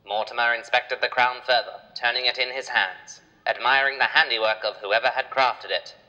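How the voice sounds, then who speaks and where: A man's voice: mid-range voice, fairly even intonation, moderate pace, bright, forceful tone, muffled recording. A man narrating a children's adventure story in a dramatic, scripted storytelling style.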